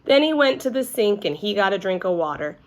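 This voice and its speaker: A woman storyteller narrating a children's book aloud in an expressive read-aloud style. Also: mid-range voice, fairly quick.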